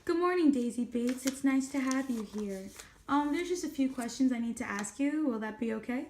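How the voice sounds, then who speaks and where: A woman's voice: sits high, expressive, fairly quick, darkish tone. A young woman delivering a scripted news report as a student reporter and interviewer. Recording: roomy echo with background noise.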